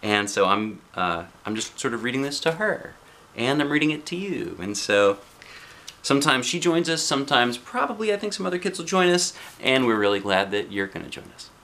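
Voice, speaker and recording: A man reading a picture book aloud as a dad would, playful and expressive, with casual asides to a child. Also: mid-range voice, brisk, slight background noise.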